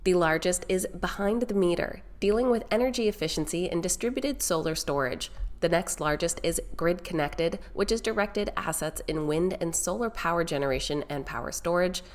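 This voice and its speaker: A woman's voice: lowish voice, normal speed, hardly any pauses. A young woman hosting a stock market video, sounding nasal and congested from a sinus infection, presenting in an upbeat way that speaks straight to viewers. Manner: upbeat, direct address to viewers. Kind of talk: scripted market news presenting.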